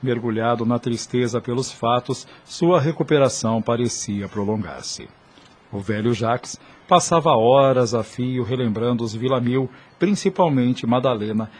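Husky male radio drama narrator. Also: medium pitch, moderate pace, darkish tone. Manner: solemn, literary.

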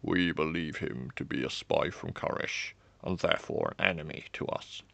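A man voicing a grave royal guard with a deep voice, measured and formal, in a fantasy story. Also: creaky, a bit bright, no echo, heavily acted.

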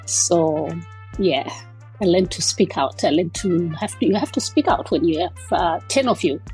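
A woman's voice: fairly low pitch, animated, normal speed, a little mellow, soft background music. A woman in her fifties from Zimbabwe, a guest talking through her background with frequent ums and restarts. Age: 50s. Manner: hesitant, many ums and restarts. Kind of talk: casual interview conversation.

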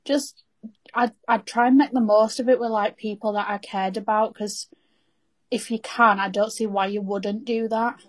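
A woman's voice: medium pitch, steady tone, moderate pace. A young woman co-hosting a podcast, chatting casually and earnestly with everyday northern English slang. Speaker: podcast co-host. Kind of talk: casual chat.

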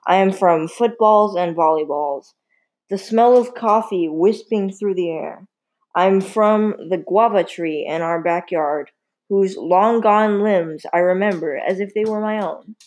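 A woman's voice: medium pitch, unhurried, a little mellow. A teenage girl reading her own poem aloud carefully. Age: teens. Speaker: student.

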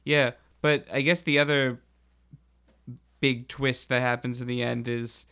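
A man chatting casually as a podcast host, with a halting, slangy delivery. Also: mid-range voice, little pitch movement, sharp tone, clear, resonant tone. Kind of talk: casual chat about a TV show.

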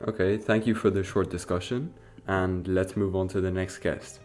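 Teen boy, co-host, very low voice, set transition lines, faint music. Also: steady tone, moderate pace, darkish tone. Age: teens. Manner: scripted segment transitions. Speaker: student podcast co-host.

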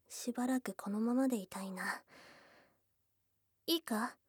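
A high, girlish goblin sweetheart, played by a woman for an ASMR voice drama. She speaks slowly and very close in a dry, intimate space. Her words are blunt and tomboyish, yet she sounds bashful, flustered and affectionate, often softening into breathy murmurs. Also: dry recording, full character voice. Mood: bashful, tender. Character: shy, tsundere young goblin girlfriend, bashful and affectionate. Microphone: close ASMR microphone.

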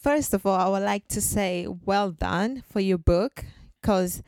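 A woman's voice: mid-range voice, a little slow, a little mellow, dry recording. A woman from Ghana, a guest in a podcast conversation, talking earnestly and leaning on "like" and "so" as fillers. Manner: earnest and chatty, leans on "like" and "so".

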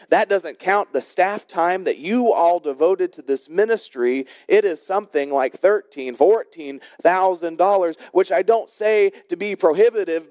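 American man, high-pitched voice, pastor, sermon delivery, deliberate repeated phrasing, dry sound. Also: a little mellow, dry recording. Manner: deliberate, rhetorical repetition in short phrases. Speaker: senior pastor.

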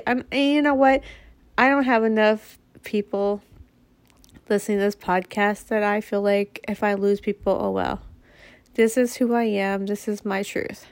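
A woman's voice: medium pitch, dry recording. A woman with a head cold, nasal and sniffling, hosting her podcast in a frank, blunt monologue that swears freely. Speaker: podcast host. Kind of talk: casual monologue.

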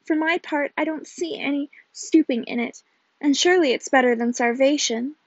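Woman playing an earnest young woman, high-pitched. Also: normal speed, dry recording, dull audio. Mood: spirited. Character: patient, hard-working, spirited young woman.

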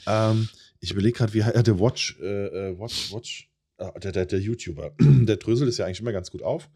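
A man's voice: fairly low pitch, brisk, dark tone, husky. A man co-hosting a podcast in casual chat, explaining at length with many hesitations and now and then repeating a word from a stutter. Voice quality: occasional repeated words from a stutter. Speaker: podcast co-host.

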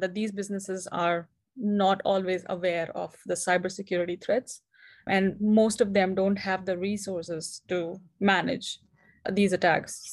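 Earnest woman from India. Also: medium pitch. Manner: earnest, with frequent restarts and repeated words. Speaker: guest, founder of a cybersecurity company. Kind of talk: casual interview conversation.

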